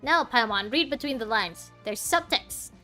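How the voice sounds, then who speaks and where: A woman streaming a video game in a fairly high voice. She comments casually and tosses playful, chatty asides at the characters, with faint music underneath. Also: expressive, normal speed. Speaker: gaming streamer.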